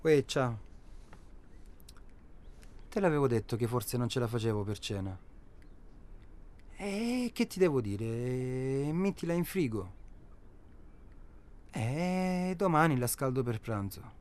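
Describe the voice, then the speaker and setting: Terse practical family man, medium pitch, guarded, touchy, matter-of-fact, naturalistic radio play delivery. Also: lively intonation, slow pace, pauses often, darkish tone. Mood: resigned, domestic. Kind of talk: naturalistic radio drama dialogue.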